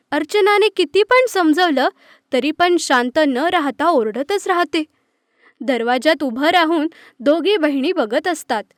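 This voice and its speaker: A woman storyteller with a high voice reads a scripted children's tale. The recording is very dry and close. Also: no echo. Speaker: narrator.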